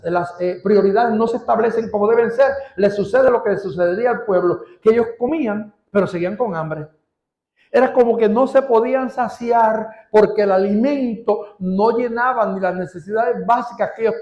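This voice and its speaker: A man preaching a sermon as pastor, stretching out key words and rising in pitch for emphasis. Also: darkish tone, reverberant, dull audio. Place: church.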